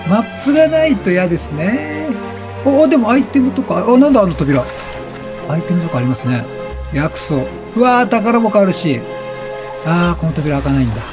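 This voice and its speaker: A man with a high-pitched voice gives a running game commentary. He is chatty and reactive, swinging widely in pitch and firing off repeated exclamations. Game music plays behind him. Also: big pitch swings, normal speed. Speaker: gaming streamer. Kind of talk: excitable let's-play commentary, reading game text aloud. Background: video game music.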